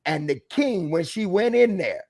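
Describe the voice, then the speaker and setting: A man's voice: sits high, expressive, unhurried, vocal fry, darkish tone. A man as a guest author giving emphatic, sermon-like advice, calling out to the audience with rhetorical questions.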